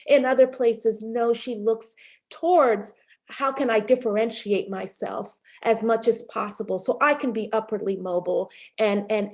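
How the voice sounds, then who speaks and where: A middle-aged woman filmmaker answering interview questions in a reflective way, pausing often with fillers and restarts. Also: on the high side, a little mellow. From Panamanian and Haitian family background. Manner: thoughtful, many fillers and restarts, occasional laughs. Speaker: film director being interviewed.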